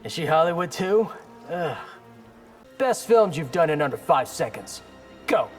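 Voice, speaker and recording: A man's voice: fairly high pitch, very animated, measured pace, soft background music. A man playing a defiant young martial artist hero in a video game, dry and sarcastic. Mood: wry, sarcastic.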